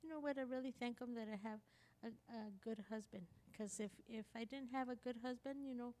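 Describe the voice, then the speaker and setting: A woman in her forties sharing a short church testimony, halting and repetitive, with frequent giggles. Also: a little high, steady tone, a little mellow. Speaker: speaker's wife sharing testimony.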